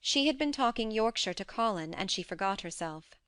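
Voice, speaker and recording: A woman's voice: medium pitch, expressive, a little fast, fairly bright tone, clear, resonant tone, dry recording, studio quality. A woman reading scripted audiobook narration as the narrator of a classic story.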